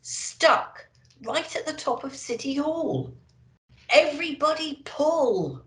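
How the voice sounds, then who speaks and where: A woman reading a children's storybook aloud in an expressive, theatrical way, addressing young listeners. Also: sits high, slow pace. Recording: echoey, roomy sound.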